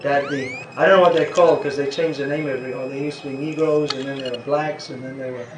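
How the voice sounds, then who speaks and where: A man's voice: medium pitch, fairly even intonation, fast talker. An older man giving an informal question-and-answer talk as a spiritual teacher, rambling and restarting his sentences, chuckling now and then. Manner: rambling, self-interrupting, chuckles at times. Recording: echoey room with faint background noise.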